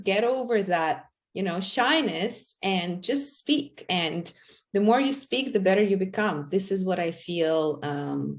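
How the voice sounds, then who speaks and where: A woman's voice: lowish voice, darkish tone. A woman for whom English is a second language, hosting and closing a college panel in a friendly, encouraging manner. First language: not English. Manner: friendly, encouraging, laughing easily. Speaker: college staff host. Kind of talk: wrapping up a panel event.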